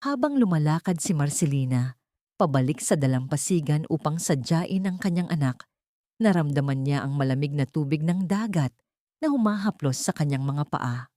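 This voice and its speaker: A woman narrating a sentimental story from a script in a measured, solemn, poetic manner. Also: low voice, expressive, dark tone, no room sound, studio quality.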